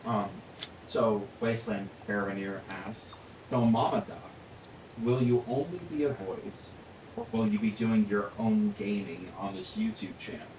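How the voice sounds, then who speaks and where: A man's voice: mid-range voice, animated, measured pace, a little mellow, echoey room, recorded from a distance, slight background noise, poor audio. A man hosting a gaming livestream, reading out chat and bossing his partner around in a dry manner. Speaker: livestream host. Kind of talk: casual chat while gaming.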